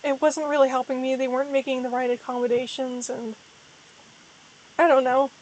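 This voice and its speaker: A young woman talks in a high voice gone hoarse and tired. She rambles through a casual livestream chat, restarting her sentences and running herself down a little. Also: level tone, moderate pace, a little mellow, rough recording. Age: young adult. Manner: rambling, self-deprecating, frequent restarts. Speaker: VTuber streamer.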